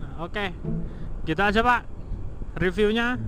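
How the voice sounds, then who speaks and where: Higher-voiced man, casual motovlog chat. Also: lively intonation, measured pace, noisy background. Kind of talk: casual vlog review while riding. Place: outdoors on a moving motorcycle at night. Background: loud music, vehicle engine.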